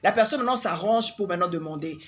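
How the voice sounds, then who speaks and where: A woman's voice: on the low side, fast talker. Congolese woman musing with many fillers. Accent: Congolese French. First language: Swahili. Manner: hesitant, many fillers and repeated words. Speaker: vlogger giving life advice. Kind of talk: casual advice monologue.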